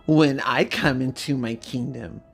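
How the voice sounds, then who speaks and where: A man voicing a proud young noblewoman in a dramatic story reading, imperious and deliberate. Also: mid-range voice, gravelly, husky, faint music behind. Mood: angry, commanding. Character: proud young noblewoman, a queen finding her confidence.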